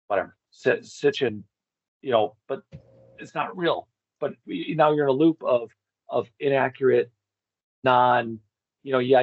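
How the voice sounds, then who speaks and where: Man, fairly low pitch, halting reflective chat, searching for words, very dry, dull lo-fi recording. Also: dry recording. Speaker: interview guest. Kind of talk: reflective casual discussion. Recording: dull, slightly lo-fi, dry sound.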